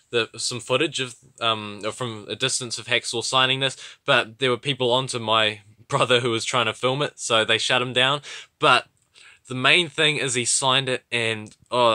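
A man talking casually to his viewers as a vlogger, gushing and halting as if lost for words. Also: mid-range voice, pushed and bright, no echo. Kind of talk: casual vlog narration to viewers. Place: indoor room, voice behind the camera.

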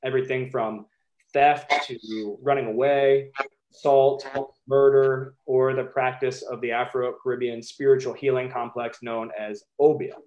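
A man in his thirties with an American accent, giving a measured, emphatic academic talk over a video call, with occasional ums. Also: medium pitch, flat delivery, a little mellow, highs cut off. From United States. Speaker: historian presenting his book.

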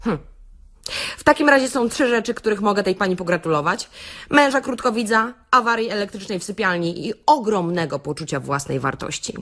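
Brash actress as a sardonic beautician, very animated. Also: female voice, medium pitch, brisk. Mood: mock-lecturing. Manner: theatrical, sardonic, addresses listeners with rhetorical questions. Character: brash, sarcastic beautician delivering a comic self-help rant. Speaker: actress reading a comic monologue. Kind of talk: satirical radio-play monologue, stand-up-like lecture.

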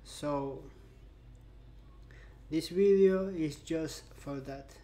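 A young man who stutters, speaking slowly and haltingly in a personal vlog, filmed by a webcam in a bright indoor room. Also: fairly high pitch. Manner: slow and halting, stuttering with long pauses. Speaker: vlogger.

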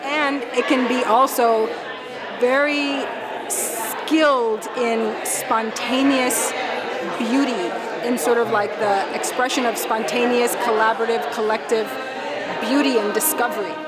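A woman with a fairly high voice leads a workshop, giving lines for a group to repeat. A loud crowd fills the room around her. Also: fairly even intonation, a little slow. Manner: leading call-and-response. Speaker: dance workshop leader. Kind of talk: workshop instruction.

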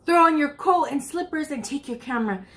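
A woman voicing a kindly, gently mysterious aunt from a storybook, speaking softly and often in whispers. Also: high voice, expressive, brisk, pitched above the performer's own voice. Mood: hushed, eager.

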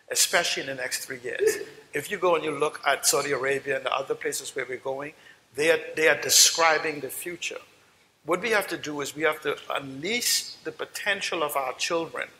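A man's voice: on the high side, sharp tone. Emphatic Bahamian man in a hall. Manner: emphatic, visionary, repeats phrases. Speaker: panelist. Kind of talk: conference panel talk. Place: large conference hall stage. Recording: long hall reverb, faint noise.

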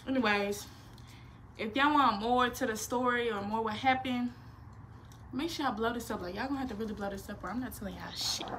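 A woman's voice: a little high, a little fast, slight background noise. A nineteen-year-old woman, chatting casually and playfully in a Q&A vlog from her bedroom. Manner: animated, slangy, playful, expressive hand gestures. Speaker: vlogger. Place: small bedroom.

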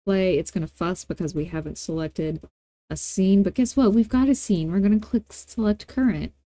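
A woman hosting a casual software tutorial, talking in an informal, chatty way with playful asides. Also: medium pitch, moderate pace, dry recording. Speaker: tutorial host.

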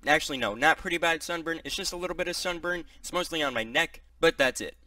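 A young man hosting a reaction video from his bedroom on a headset mic, upbeat and quick to joke and then take it back. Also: fairly high pitch, pushed and bright, dry recording, distant mic. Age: young adult. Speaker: YouTube reaction host. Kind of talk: casual video intro. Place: small bedroom.

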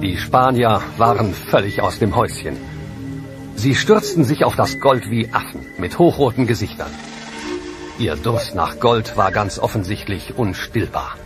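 A man's voice: lowish voice, lively intonation, a little slow. An actor voicing a scornful Aztec eyewitness, a man telling events vividly. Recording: narration over loud music.

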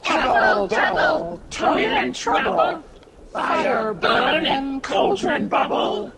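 A woman's voice: high-pitched, wildly swooping pitch, takes it slowly, a loud crowd, full character voice. A woman voicing an old witch who chants spells over a cauldron, in an amateur radio drama. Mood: chanting. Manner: chanting incantations.

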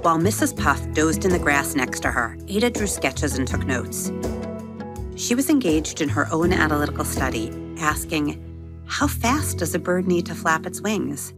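A woman hosting a podcast, narrating a bedtime story for children in a gentle, expressive storytelling manner. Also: sits low, moderate pace, music in the background.

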